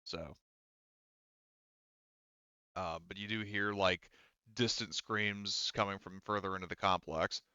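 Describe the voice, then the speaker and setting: A man's voice: low-pitched, steady tone, slow, long pauses, bright, forceful tone, clean tone, dry recording, muffled recording. A man working as game master, improvising his narration in a hesitant, conversational way full of hedges.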